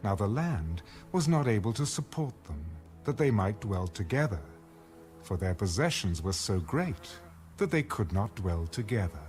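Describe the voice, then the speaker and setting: A man's voice: lowish voice, sing-song, moderate pace, darkish tone. A man narrating scripture as a scripted, dramatized reading. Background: music.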